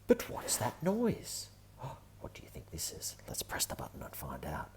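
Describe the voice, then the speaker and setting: A man reading a children's picture book aloud, with gentle, often whispered asides. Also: rumbling low, wildly swooping pitch, normal speed.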